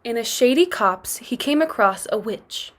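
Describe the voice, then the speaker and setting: A woman teacher with a fairly high voice reads a children's storybook aloud. Her delivery is that of classroom narration, addressed to pupils. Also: lively intonation, measured pace.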